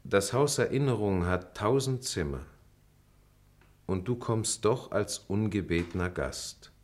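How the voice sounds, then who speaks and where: An actor playing a middle-aged lawyer and former pupil, composed, formal and dryly witty, in a German radio play. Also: male voice, fairly low pitch, lively intonation. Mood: solemn. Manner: formal, measured, dry. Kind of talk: German radio play acting, measured recitation.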